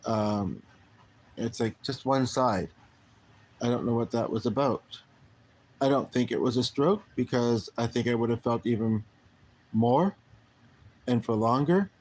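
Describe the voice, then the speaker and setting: A middle-aged man from Canada talks to his livestream viewers in a loose, wandering way. He trails off, starts sentences over and leans on plenty of ums. His voice sits at an ordinary middle pitch for a man. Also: darkish tone. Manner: rambling, halting, many ums and restarts. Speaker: livestream host. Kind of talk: casual livestream chat.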